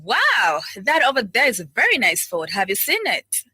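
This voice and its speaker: A woman's voice: fairly high pitch, huge pitch swings, normal speed, pushed and bright, slightly rough, exaggerated voice. A woman playing an enthusiastic customer recommending a supplier in a scripted radio advert. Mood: upbeat, promotional.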